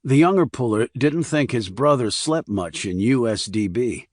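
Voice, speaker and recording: A man's voice: mid-range voice, animated, moderate pace, darkish tone, dry recording, studio quality. A man reading a crime novel as scripted audiobook narration.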